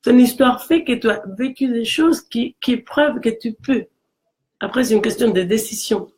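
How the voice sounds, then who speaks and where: A woman from Mexico with a Mexican Spanish accent and a low voice, talking earnestly in a casual conversation. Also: moderate pace, a little mellow. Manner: earnest, encouraging, with frequent restarts.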